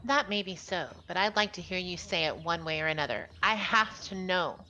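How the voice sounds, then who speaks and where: A woman's voice: on the low side, brisk, bright, forceful tone, a little hiss or hum, low-quality recording. A woman voicing a defiant, desperate human captive from a visual novel, insistent and demanding.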